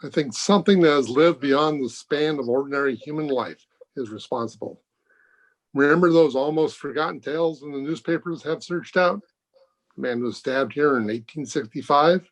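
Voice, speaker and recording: Man acting a firm doctor, slightly high voice. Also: lightly acted, poor audio. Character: authoritative, open-minded hospital doctor, thoughtful and firm. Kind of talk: old-time radio mystery acting. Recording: home video-call recording.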